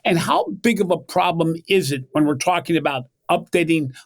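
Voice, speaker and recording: A man hosting a banking podcast, reading a scripted introduction with emphatic, animated delivery and then asking a guest questions. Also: a little high, normal speed. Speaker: podcast host.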